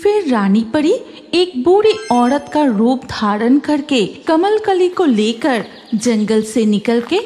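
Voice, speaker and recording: A woman's voice: sits high, very animated, slow, dark tone, soft background music. A woman narrating a scripted fairy tale for children as the storyteller.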